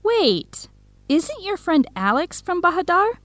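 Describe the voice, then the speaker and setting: A young woman playing a supportive, excitable best friend in an educational radio drama, eager, expressive and full of questions. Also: very high voice, slow, no echo, exaggerated voice. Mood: curious. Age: young adult.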